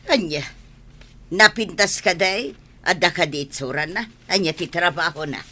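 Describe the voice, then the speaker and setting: A woman's voice: on the low side, moderate pace, pushed and bright. A woman acting a strict, questioning middle-aged mother in an audio drama, with an Ilocano accent. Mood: suspicious, probing. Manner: stern, questioning, emphatic.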